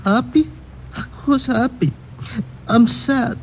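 An actor playing a drunken, self-pitying peasant field hand with a Spanish accent, slurring mournfully in an old radio play. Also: male voice, very high-pitched, takes it slowly, full character voice. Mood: tipsy, mournful. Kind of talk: old-time radio comic character acting. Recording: muffled old radio recording, faint noise.

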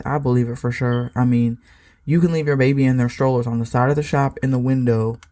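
A woman's voice: rumbling low, dark tone. An American woman hosting a casual solo podcast, talking in an informal, rambling, conversational way. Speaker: podcast host.

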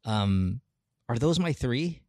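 A Filipino man co-hosting a casual chat in English and Tagalog, emphatic and opinionated, quick to laugh. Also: mid-range voice, expressive, a little mellow, no echo, studio quality. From Philippines. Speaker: podcast co-host.

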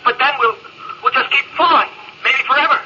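A man's voice: very high voice, a little fast, sharp tone, heavily acted. A man playing a frightened, bitter mutinous crewman in an old-time radio drama, theatrical and biting. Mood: desperate, afraid. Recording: muffled, noisy vintage radio recording.

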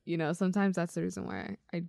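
A woman's voice: lowish voice, steady tone, racing, vocal fry, over a noisy crowd. A young woman co-hosting a podcast, chatting casually with tentative, hedging phrasing. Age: young adult. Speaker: podcast co-host. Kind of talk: casual chat.

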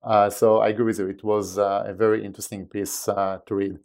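A man with an Israeli accent, a company president guesting on a podcast, talking in a measured way with many pauses and fillers. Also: on the low side, little pitch movement, darkish tone. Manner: measured, filler-heavy, wry. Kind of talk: conversational interview answers.

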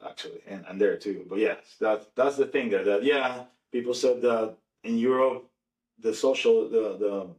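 A man from Venezuela with a Venezuelan accent, a native Spanish speaker, talking casually and informally in an animated way. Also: lowish voice, normal speed. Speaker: podcast participant. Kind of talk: casual conversation. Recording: echoey room, distant mic.

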